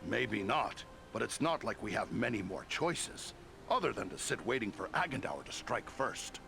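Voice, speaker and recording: A determined, plain-spoken adventurer of a fantasy game. His voice is gravelly and crackles with creak. The pitch moves around a good deal as he talks. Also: big pitch swings, normal speed. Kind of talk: dramatic fantasy game acting.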